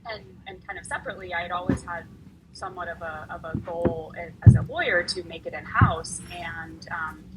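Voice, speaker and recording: A woman with a somewhat low voice talks as a lawyer on a panel, chatty and loose, circling back and repeating words as she thinks. A constant hiss of noise sits behind her. Her delivery sounds unscripted and conversational. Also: steady tone, brisk. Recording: noisy, hissy recording.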